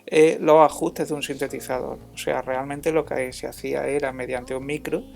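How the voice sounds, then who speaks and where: Man, tutorial instructor, informal, halting delivery, medium pitch, noticeable vocal fry. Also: level tone, a little mellow, faint music behind. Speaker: music production instructor. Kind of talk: informal software tutorial narration.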